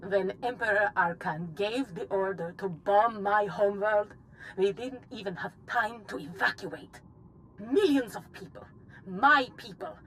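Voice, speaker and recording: A woman's voice: medium pitch, fairly bright tone, a little hiss or hum, close to an everyday voice, poor audio. A woman playing a grieving, embittered survivor who wants justice, in a dramatic video game scene. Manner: bitter, solemn. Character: embittered, grieving female survivor seeking vengeance.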